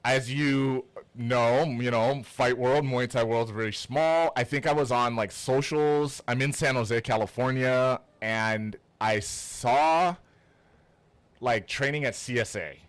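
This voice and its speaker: A man speaks as a podcast host in a casual, chatty style. His voice is clear and smooth at a middling pitch, recorded dry with very little room sound. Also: slow pace, no echo. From Toronto, Canada. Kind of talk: casual interview chat.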